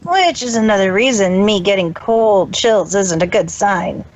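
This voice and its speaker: A woman's voice: medium pitch, animated, unhurried, lightly acted. A woman voicing a young male dragon, a jumpy, wisecracking kid sidekick in a fan-fiction audio drama. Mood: explaining, wry.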